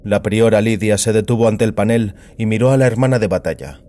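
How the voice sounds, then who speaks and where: A man's voice: on the low side, a little fast, mellow. A man giving a scripted narration of a science fiction audiobook. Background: music.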